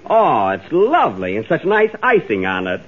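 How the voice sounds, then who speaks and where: A man hosting a 1930s radio comedy with a dry, mock-indignant deadpan. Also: medium pitch, moderate pace. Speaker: comedian host. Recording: lo-fi 1930s radio with loud studio audience.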